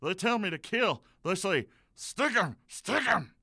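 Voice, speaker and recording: Man playing an unhinged, high, sharp-voiced caller. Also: big pitch swings, a little slow, creaky, slightly rough, no echo, studio quality, heavily acted. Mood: unhinged, menacing. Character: unhinged male caller who hears voices. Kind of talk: spoof radio commercial acting.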